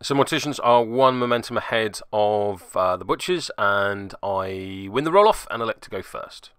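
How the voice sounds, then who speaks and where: A man's voice: lowish voice, expressive, moderate pace, fairly bright tone, smooth, no room sound, studio quality. A man hosting and narrating a tabletop game move by move, with theatrical flourishes and wry asides. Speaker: podcast host and player.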